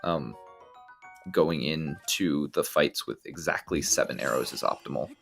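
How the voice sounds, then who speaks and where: Male speedrun commentator, very low gravelly voice, laid-back explainer. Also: unhurried, faint music behind. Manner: relaxed, explanatory, frequent ums and restarts. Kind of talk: live game commentary.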